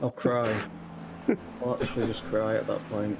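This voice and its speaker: A man who is racing while chatting on a voice call, relaxed and rambling, often chuckling. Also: on the low side, moderate pace, gravelly, noisy background. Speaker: racing driver guest on voice call. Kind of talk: casual chat while racing.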